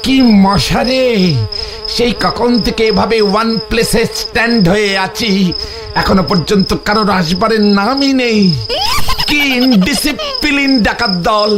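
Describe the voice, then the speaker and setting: A man's voice: high voice, measured pace, noisy background. A man playing a boastful, bumbling cartoon schemer, theatrical and sprinkling English words into his Bengali. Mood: weary grumbling. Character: boastful, bumbling male schemer of a comic neighbourhood. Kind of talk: exaggerated comedy cartoon acting.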